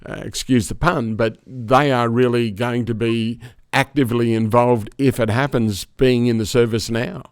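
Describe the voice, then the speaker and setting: A man's voice: mid-range voice, fairly even intonation, normal speed, clear, resonant tone, no echo. Male interviewer, clean resonant voice, close dry mic, easygoing questions. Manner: conversational, curious questioner. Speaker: podcast host.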